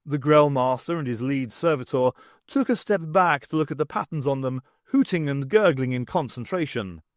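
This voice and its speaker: A man reading scripted fiction as an expressive audiobook narrator. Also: medium pitch, normal speed, no room sound, clean studio sound.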